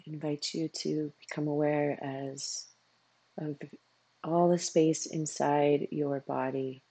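A meditation coach guiding a practice in a soothing way, with unhurried phrases, long pauses and a husky, gravelly voice. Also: mid-range voice, flat delivery, warm tone, dry recording, low-quality recording. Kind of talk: guided meditation.

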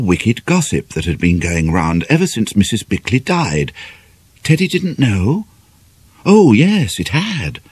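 A man's voice: low voice, slightly rough, dry recording. A man with a British accent reading a novel aloud as a dramatic audiobook narrator.

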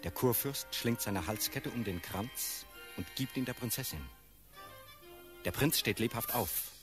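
A man narrating a classical radio drama's stage directions in a sober, measured, scripted way. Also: mid-range voice, over music, close to an everyday voice. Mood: neutral.

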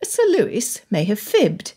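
A woman plays a shrewd governess in an audiobook story. She is composed and firm, choosing formal words with poise. The voice is a little husky. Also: mid-range voice, huge pitch swings, measured pace, mellow, full character voice. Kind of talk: dramatised audiobook dialogue.

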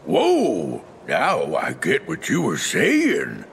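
An actor playing a big, bullying cartoon cat villain in a video game, scheming and blustering in a gruff, folksy, slangy drawl. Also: male voice, lowish voice, wildly swooping pitch, drawn-out words, slight background noise, full character voice. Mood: startled, dawning. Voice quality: gravelly, gruff. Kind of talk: animated video game voice acting.

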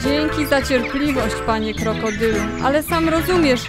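A woman's voice: high voice, normal speed, over loud music, natural voice. A woman playing a kindly animal keeper on the ark in a children's audio play.

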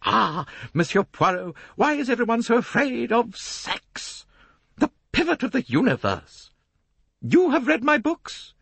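A man doing a high, pompous older lady novelist. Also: sing-song, unhurried, gravelly, a bit bright, slightly rough, no echo, full character voice. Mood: grandiose. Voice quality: high, complaining voice. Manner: theatrical, self-important, emphatic. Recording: dry, close audiobook recording.

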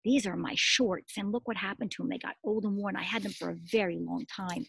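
A middle-aged woman, a preschool teacher, reads a story aloud expressively, pausing to explain simply and ask small children questions. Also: medium pitch, gravelly, husky, dry recording. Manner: expressive, addressing small children with simple words and emphatic repetition. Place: outdoors in a garden.

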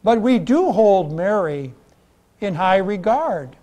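High-pitched American pastor preaching slowly. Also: male voice, big pitch swings, mellow. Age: middle-aged. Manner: deliberate, emphatic, oratorical delivery in short phrases with pauses. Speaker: Lutheran pastor. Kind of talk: sermon. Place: church, indoors at a pulpit.

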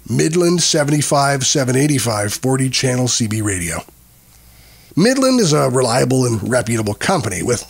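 Husky male product review narrator. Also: medium pitch. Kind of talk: scripted narration.

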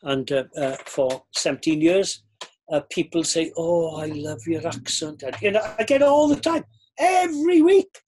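A man's voice: a little high, moderate pace, a little hiss or hum. An older man with a Welsh accent, a former pastor talking earnestly over a video call from a book-lined study. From Wales. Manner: earnest, reflective, animated gestures.

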